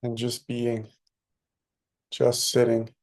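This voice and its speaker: Slow, gravelly man guiding meditation. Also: mid-range voice, completely flat delivery, a little mellow. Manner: soothing, unhurried, short phrases with long pauses. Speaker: meditation teacher. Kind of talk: guided meditation.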